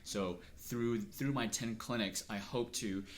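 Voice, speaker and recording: A middle-aged man from Texas speaks in an interview, earnest and measured, with thoughtful pauses between his phrases. His voice sits a little on the low side. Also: steady tone, slight background noise. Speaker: interviewee, acupuncturist. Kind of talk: reflective personal interview.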